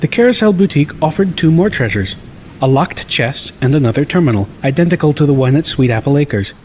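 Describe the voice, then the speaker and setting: A man's voice: fairly high pitch, animated, fairly quick, darkish tone, a little hiss or hum. A man reading first-person scripted story narration as an audiobook narrator.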